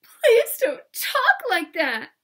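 A woman's voice: very high-pitched, huge pitch swings, drawn-out words, fairly bright tone, pitched above the performer's own voice, exaggerated voice. A woman voicing a bold, big-hearted little girl with blunt, unguarded emotion in a children's storybook reading. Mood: sobbing, pleading.

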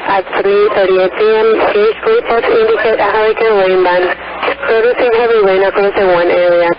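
High-voiced man on noisy weather radio. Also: fairly even intonation, moderate pace, dull audio. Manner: formal, reading out. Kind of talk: scripted emergency weather bulletin.

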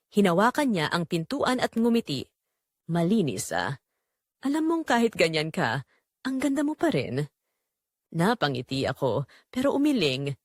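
A woman's voice: medium pitch, no room sound, studio quality. A young woman with a Filipino accent narrating a scripted romantic drama in the first person, earnest and reflective.

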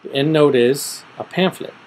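A middle-aged man giving an informal, step-by-step screen tutorial, thinking aloud methodically with pauses. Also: fairly high pitch, a little mellow, low-quality recording. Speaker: tutorial presenter. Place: small room at home, indoors.

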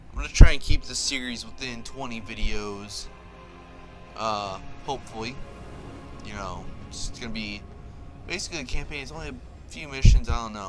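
A man giving casual, rambling, off-the-cuff commentary as host of a gaming video. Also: fairly low pitch. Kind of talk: casual game commentary over cutscenes. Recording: game music behind voice. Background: loud game soundtrack.

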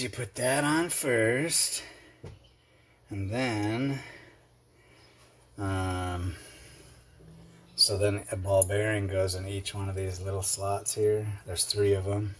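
Chatty low-voiced man in his garage. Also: a bit bright, a little hiss or hum. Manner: rambling, chatty, self-deprecating with fillers. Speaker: garage video host. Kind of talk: casual workshop how-to narration. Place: cluttered home workshop garage.

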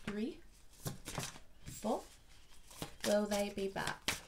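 A woman's voice: mid-range voice, extremely slow, background noise. A woman in her thirties gives a casual, chatty tarot reading to camera at home, slightly sniffly with hay fever. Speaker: tarot reader, host. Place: small furnished room at home, indoors.

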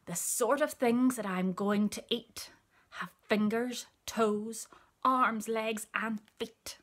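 A woman voicing an enormous male crocodile in a children's story, sly and menacing, drawing out words with greedy relish and breathy hisses. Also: on the high side, steady tone, takes it slowly, fairly bright tone.

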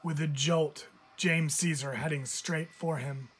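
A man reading a children's picture book aloud like a teacher, with expressive emphasis. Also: on the high side, a little slow, husky. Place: indoors, book filmed from above.

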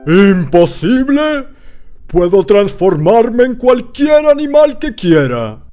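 A man's voice: high-pitched, sing-song, takes it slowly, warm tone, faint music behind, exaggerated voice. A man voicing a huge, proud, gullible old giant in a children's cartoon fairy tale. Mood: boastful. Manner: proud, boastful. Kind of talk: children's cartoon storybook acting.

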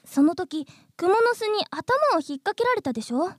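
A woman's voice: very high-pitched, sing-song, moderate pace, no echo, studio quality. A woman voicing a polite, insistent, earnest mosquito in human form in a radio comedy drama. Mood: grateful, eager.

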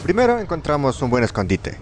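Man playing a cocky young schemer, lively pitch. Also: brisk, over music. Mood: confident, scheming. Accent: Latin American. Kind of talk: amateur fan dub of an adventure game.

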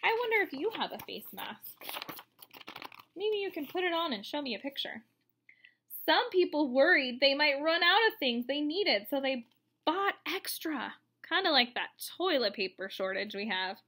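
A woman in her thirties reading a picture book aloud to children, explaining as she goes in an expressive way. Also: high voice, normal speed. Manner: expressive, explanatory, addressing young listeners. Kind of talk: read-aloud storytelling for children with asides. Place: room at home, indoors.

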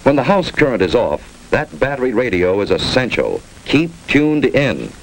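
A man's voice: mid-range voice, big pitch swings, normal speed, creaky. A man with an American accent narrating a 1950s instructional film in clipped, authoritative instructions. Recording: noisy old 1950s film soundtrack.

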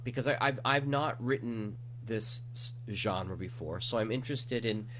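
A man's voice: mid-range voice, normal speed, a bit bright, a little hiss or hum. A man hosting an interview podcast, asking casual questions in a conversational, inquisitive way.

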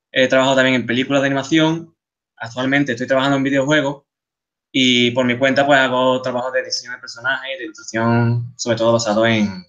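Man, thirties, Andalusian accent, casual conference talk, lots of restarts, dull lo-fi sound. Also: medium pitch. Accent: Andalusian Spanish. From Seville, Spain. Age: 30s. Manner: relaxed, chatty, many restarts and fillers. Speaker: guest speaker, game artist. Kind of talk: conference talk over slides.

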